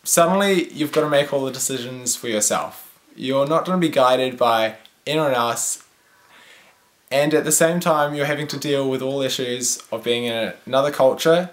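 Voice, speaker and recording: A woman's voice: very deep voice, moderate pace. A young woman speaking about her school years in a matter-of-fact way. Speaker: student alumna. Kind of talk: short testimonial snippets.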